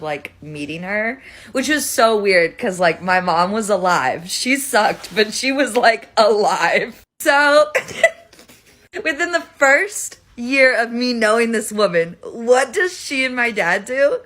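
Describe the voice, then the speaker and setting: Chatty female storyteller with a bright, sharp voice and a wry, self-mocking delivery. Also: mid-range voice. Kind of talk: casual personal storytelling.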